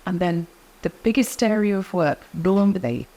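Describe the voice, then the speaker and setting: A middle-aged woman speaks quietly and evenly as an interview guest. She gives advice in a calm, measured way. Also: lowish voice, low-quality recording. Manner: soft-spoken, measured, advice-giving. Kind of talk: interview conversation.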